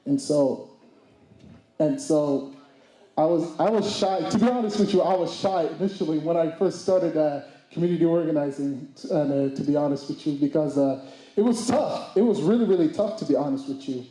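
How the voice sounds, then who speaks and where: A man in his twenties giving a speech at a community summit, halting with restarts and mixing in Somali phrases. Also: a little high, warm tone, reverberant, low-quality recording. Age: 20s. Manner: hesitant, many restarts and fillers; mixes Somali phrases into English. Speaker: speaker. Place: event hall.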